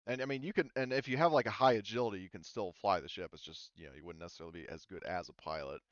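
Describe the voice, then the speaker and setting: Low-pitched, smooth-voiced man, halting game master. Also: no room sound, highs cut off. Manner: halting, informal explaining. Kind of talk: casual group discussion of tabletop game rules.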